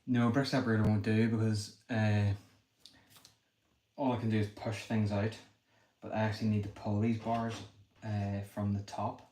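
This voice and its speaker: A young Northern Irish man talks quickly and a little self-deprecatingly, muttering his thoughts as he works. His voice sits low and stays fairly flat. The sound is roomy and echoey, with a faint hiss underneath. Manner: thinks aloud, self-deprecating, apologetic. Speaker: livestreamer building a brick set. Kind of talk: casual livestream chat while building. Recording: echoey room with faint noise.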